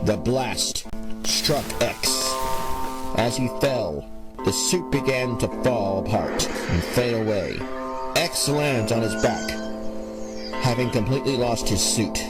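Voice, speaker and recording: Male narrator, slow dramatic scripted delivery for a superhero audio drama, music bed underneath. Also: mid-range voice, lively intonation, poor audio.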